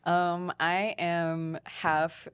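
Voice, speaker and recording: A woman's voice: on the low side, little pitch movement, drawn-out words, fairly bright tone, clear, resonant tone. A young adult woman speaking as a guest in a casual, conversational debate.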